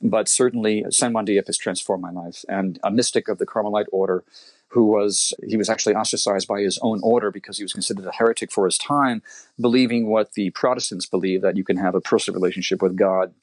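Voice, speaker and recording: Low-voiced man telling his story. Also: fairly even intonation, fast, no room sound. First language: Italian. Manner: rambling, repeats words and restarts often. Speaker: composer and guitarist guest. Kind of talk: conversational interview storytelling.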